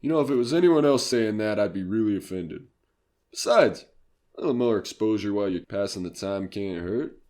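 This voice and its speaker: A man playing a flattering, small-time comedy club manager in an audio drama, halting and ingratiating. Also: lowish voice, close to an everyday voice. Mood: coaxing, deferential.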